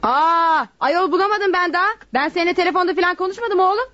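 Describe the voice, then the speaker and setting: High, quick, baffled mother. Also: fairly bright tone, no echo. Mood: baffled, denying. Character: baffled, protesting middle-aged mother. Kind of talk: radio theatre acting. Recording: muffled radio drama recording.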